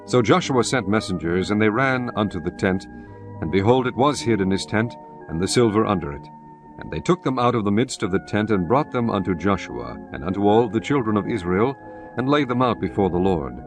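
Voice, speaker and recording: A man narrating scripture in a measured, solemn, formal way. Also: on the low side, lively intonation, music in the background.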